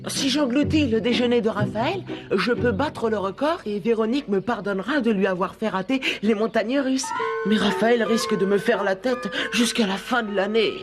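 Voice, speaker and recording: A woman voicing a gluttonous young schoolboy in an animated cartoon, scheming, hesitant and easily flustered. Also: medium pitch, moderate pace, music in the background, close to an everyday voice. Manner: hesitant, musing to himself.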